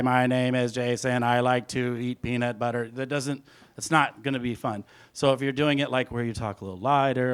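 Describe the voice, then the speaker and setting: A man, a music producer, giving an informal, rambling hands-on presentation, talking through each step aloud as he goes. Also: medium pitch. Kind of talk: casual hands-on audio production talk.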